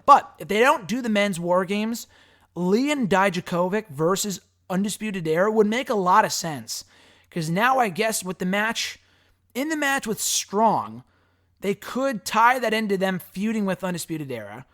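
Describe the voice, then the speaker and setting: Guy with a hoarse raspy voice, kinda high, rambling casual q&a host. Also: a bit bright. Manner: rambling, frank, swears casually. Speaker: wrestling podcast host answering fan questions. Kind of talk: casual solo commentary.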